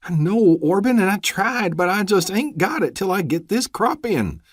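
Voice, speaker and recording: A man's voice: high-pitched, sing-song, moderate pace, breathy edge, no echo, studio quality, voice pushed up, heavily acted. A man voicing a frightened rural man who pleads in a quavering voice. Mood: pleading.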